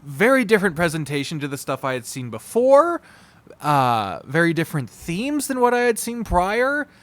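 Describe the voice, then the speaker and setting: A man's voice: a little high, very animated, moderate pace, a bit bright, no room sound. A man giving an unscripted, casual review monologue, candid and rambling, with emphatic wording and some swearing. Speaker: YouTuber reviewing visual novels.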